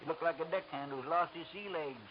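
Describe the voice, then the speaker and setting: An actor playing a hearty, boastful seafaring salvage boss in an old radio drama, talking like a sailor. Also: male voice, on the high side, fast talker, music in the background, close to an everyday voice. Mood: hearty, proud. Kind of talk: 1940s radio acting. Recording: muffled, noisy old radio recording.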